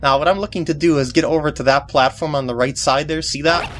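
A woman's voice: low voice, fairly even intonation, quick pace, a little hiss or hum. A woman giving gameplay commentary as she plays, with joking, sarcastic asides. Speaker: video game walkthrough commentator. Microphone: compact camera microphone. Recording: game music behind voice.

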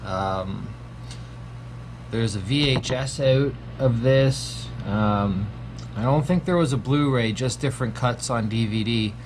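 A man's voice: medium pitch, slow pace, slight background noise. A man in his thirties giving a casual, profanity-laced horror movie review from a small home room, with lots of ums. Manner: laid-back, swears constantly, frequent ums.

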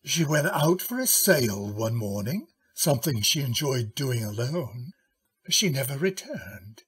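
Husky, slow-spoken courtly gentleman lawyer. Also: mid-range voice, very animated, heavily acted. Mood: guarded, grave. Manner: courteous, hesitant, deliberate. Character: courtly, mild middle-aged gentleman lawyer, cautious. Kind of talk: old-time radio drama acting.

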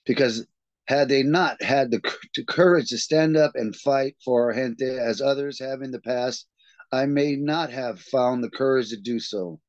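A man hosting a reading group, reading a text aloud in an earnest, steady way and then leading the discussion over a video call. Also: medium pitch, a little slow, no echo. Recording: dull, dry lo-fi video call audio.

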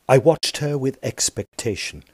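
An actor with a British accent playing a peevish, smitten Edwardian gentleman ghost hunter, narrating formally in an audio drama. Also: medium pitch, unhurried, breathy edge, no room sound. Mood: earnest, formal. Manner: formal, stiffly proper, fussy.

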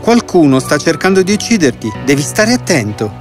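A man's voice: fairly high pitch, big pitch swings, fairly quick. A man playing a brave, earnest and respectful boy hero in a children's fairy tale. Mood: worried. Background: music.

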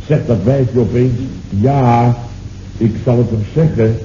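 An actor playing a blustering, excitable middle-aged boss who repeats himself with hearty exclamations in a 1970s Dutch radio comedy. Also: male voice, medium pitch, slow, lightly acted. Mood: exasperated storytelling. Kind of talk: Dutch radio comedy acting, circa 1970. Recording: echoey, muffled, noisy old radio recording.

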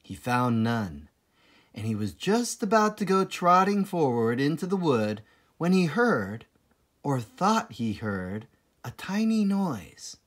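A man narrating a children's storybook aloud in a dramatic, deliberate manner with suspenseful pauses. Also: mid-range voice. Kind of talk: expressive children's storybook reading.

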